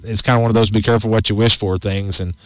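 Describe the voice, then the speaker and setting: A man's voice: on the low side, level tone, very fast, fairly bright tone, no echo. A man in his forties hosting a casual outdoors radio show, rambling and folksy with many restarts. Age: 40s.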